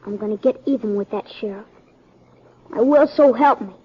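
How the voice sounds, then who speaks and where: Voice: mid-range voice, moderate pace, husky, close to an everyday voice. An actor playing a grieving, defiant eleven-year-old American boy in a radio western, sullen and insistent. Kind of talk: 1950s radio drama acting. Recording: muffled 1950s radio recording.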